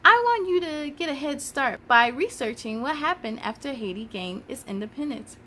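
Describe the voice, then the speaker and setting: Woman reading as patient storybook history teacher, female, high-pitched, instructive and encouraging, faint noise behind. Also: expressive, normal speed, pushed and bright. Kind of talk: children's storybook dialogue.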